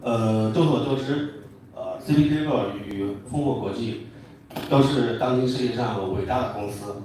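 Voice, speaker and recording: A man from China with a Chinese accent, giving a halting formal speech as a company representative. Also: medium pitch, a little mellow. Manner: halting, hesitant delivery. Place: large indoor hotel event room. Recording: very echoey hall, distant mic, faint noise.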